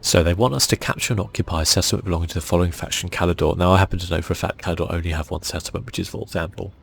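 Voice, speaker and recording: A man hosting a relaxed ASMR video game playthrough, speaking softly and musing aloud as he goes. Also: sits low, rapid-fire, creaky, a little hiss or hum. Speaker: gaming channel host.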